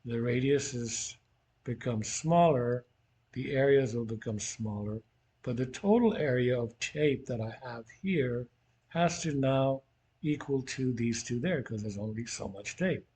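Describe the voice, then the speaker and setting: Husky, mellow man explaining informally. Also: medium pitch, lively intonation, unhurried. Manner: casual, conversational explaining, thinking aloud. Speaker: physics teacher. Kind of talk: informal worked-problem lesson.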